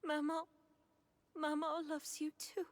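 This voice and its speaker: A woman playing a tender, sorrowful mother in a video game, with a soft, breathy voice. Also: high voice, monotone, takes it slowly. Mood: loving. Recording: faint music behind.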